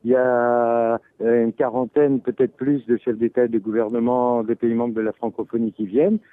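A man speaking as an organization's spokesperson on a debate panel, measured but emphatic when he disagrees. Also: mid-range voice, flat delivery, no echo. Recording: tinny phone line.

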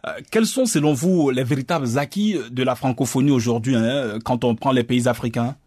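A man's voice: mid-range voice, a little fast, a little mellow, husky, no room sound, clean studio sound. A man moderating a radio debate panel, asking probing questions and addressing guests formally.

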